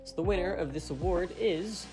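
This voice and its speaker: A man's voice: high-pitched, normal speed. A man giving a scripted award announcement as a guest presenter, reading out nominees. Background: guitar music.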